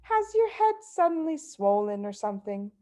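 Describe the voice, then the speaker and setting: A woman's voice: mid-range voice, sing-song, moderate pace, dark tone, lightly acted. Woman playing a cheeky, innocent-sounding little storybook girl.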